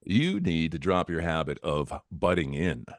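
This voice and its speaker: A mischievous joker played by a man with a deep voice and very animated pitch. He tosses out cheeky wisecracks as the troublemaking housemate in a comedy script read. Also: measured pace, dry recording, clean studio sound, full character voice. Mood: joking. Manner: joking, mischievous.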